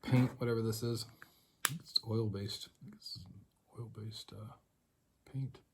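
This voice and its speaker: A man giving a casual hands-on tutorial, thinking aloud in a rambling, halting way and muttering to himself. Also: lowish voice, fairly even intonation, very noisy. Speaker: hobbyist demonstrating tape editing.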